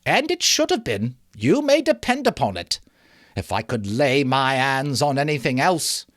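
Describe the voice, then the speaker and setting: A man's voice: medium pitch, big pitch swings, a little slow, dry recording, studio quality. A man voicing a bold, defiant charwoman and thief in a storybook reading, with a brash, challenging manner. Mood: scornful, demanding. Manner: brash, loud, challenging exclamations. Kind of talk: dramatic audiobook character voice.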